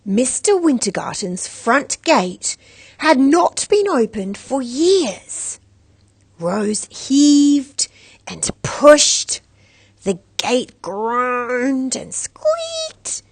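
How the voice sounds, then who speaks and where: A woman reading a children's story aloud as an expressive narrator. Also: sits high, extremely slow, dry recording. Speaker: storybook reader.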